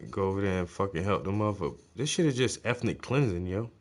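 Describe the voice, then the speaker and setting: A man streaming his reaction to a news broadcast, talking loudly and excitably and swearing constantly. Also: fairly low pitch, fairly quick. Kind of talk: casual profane reaction chat.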